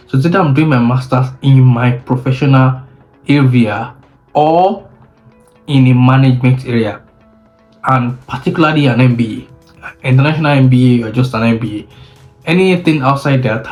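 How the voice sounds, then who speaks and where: Unhurried Nigerian man telling his story. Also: mid-range voice, a little mellow, rough recording. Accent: Nigerian English. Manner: unhurried, with frequent restarts and self-corrections. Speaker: vlogger. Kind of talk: casual storytelling to camera.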